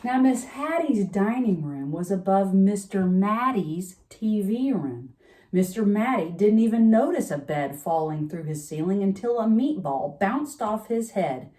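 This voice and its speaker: A woman's voice: mid-range voice, lively intonation, measured pace, warm tone. A woman storyteller reading a children's picture book aloud at bedtime, expressively, with chatty asides.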